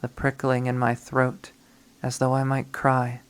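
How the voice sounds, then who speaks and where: A man's voice: medium pitch, monotone, measured pace, clear, resonant tone, natural voice. A man voicing a brooding, obsessive young woman killer. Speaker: visual novel reader. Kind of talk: dramatic first-person readthrough.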